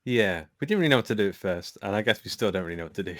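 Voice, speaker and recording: A man with a lowish voice talks casually as an interview guest. He is self-deprecating about his own creative work. The sound is dry, with little room around him. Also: animated, racing, a bit bright, no room sound. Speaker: podcast guest, author and filmmaker.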